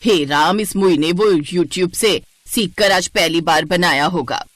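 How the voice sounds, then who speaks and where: Woman putting on a bossy, lower-voiced older mother-in-law. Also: takes it slowly, dry recording. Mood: suspicious, grumbling. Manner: nagging, muttering asides.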